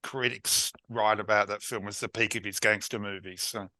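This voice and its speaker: A man on an online panel who cuts in eagerly to add his point, speaking as a film scholar. His voice is lowish yet bright and forceful, and the call audio is dry and close. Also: steady tone, no room sound. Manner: eager, jumps in with quick points. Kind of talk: casual panel discussion over video call. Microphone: video call microphone.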